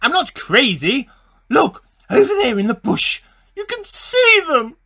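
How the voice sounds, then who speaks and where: A man's voice: extremely high pitch, huge pitch swings, unhurried, vocal fry, sharp tone, husky, exaggerated voice. A man playing an agitated, paranoid amateur scientist in a radio play diary, with melodramatic delivery. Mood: frantic. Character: paranoid male amateur scientist at war with hamsters.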